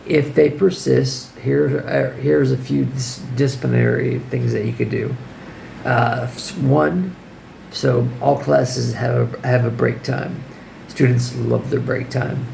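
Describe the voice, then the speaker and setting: A hoarse man with a deeply gravelly, fry-heavy voice talks through classroom tips in a loose vlog style. He sounds firm and direct but informal, and his voice sounds strained and worn as it fades. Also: fairly even intonation, takes it slowly, a little mellow, slight background noise, low-quality recording. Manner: informal, firm, checks in with "okay?". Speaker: English teacher. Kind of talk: casual advice vlog.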